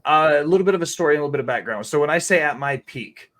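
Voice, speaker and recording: A man's voice: fairly high pitch, animated, rapid-fire, fairly bright tone. A man, a guest chef telling candid, rambling stories in a casual interview and swearing freely. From Tennessee.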